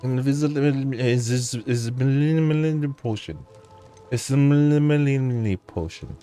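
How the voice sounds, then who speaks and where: A man streaming a video game talks slowly and loosely to himself. He jokes, hums and sings bits of songs as he plays. Faint game music runs underneath his voice. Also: medium pitch, dark tone, smooth. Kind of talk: casual gameplay commentary with singing.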